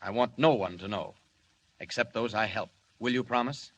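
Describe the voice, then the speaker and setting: An actor playing a noble, earnest alien superhero with formal, declamatory delivery in a 1940s radio drama. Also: male voice, on the low side, normal speed, fairly bright tone, muffled recording, a little hiss or hum, lightly acted. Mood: grave, earnest. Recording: vintage 1940s radio recording.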